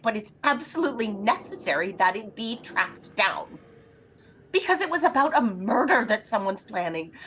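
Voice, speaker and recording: An actress playing an anxious, imperious invalid wife in a throwback radio thriller, agitated, demanding and melodramatic. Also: female voice, fairly high pitch, a little hiss or hum. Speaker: lead actress in an audio drama.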